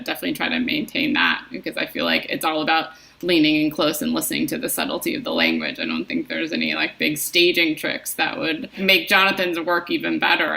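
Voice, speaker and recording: A woman's voice: low voice, gravelly, bright, forceful tone, low-quality recording. A woman talking informally as a guest in a panel discussion about theatre.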